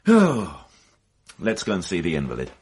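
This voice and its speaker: A man's voice: sits low, huge pitch swings, moderate pace, darkish tone, slight background noise. An actor playing a dry, authoritative male police inspector in a radio drama, questioning in a clipped, probing way. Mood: pondering.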